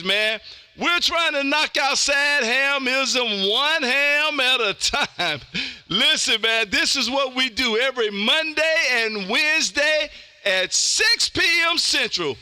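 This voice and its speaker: An American man hosting a live amateur radio stream, loud and exuberant, repeating himself for hype as he chats and calls stations. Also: extremely high pitch, clean tone. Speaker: amateur radio livestream host.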